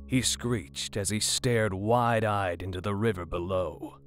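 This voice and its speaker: Deep voiced guy doing dramatic horror story narration, faint music behind him. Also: unhurried. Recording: music bed under the narration.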